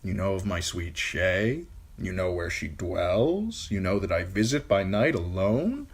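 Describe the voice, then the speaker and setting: A shrewd, sardonic lord in an audiobook, played by a man. His low, husky voice stays dry and commanding while moving with a lively lilt. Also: measured pace, full character voice. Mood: cold, sardonic.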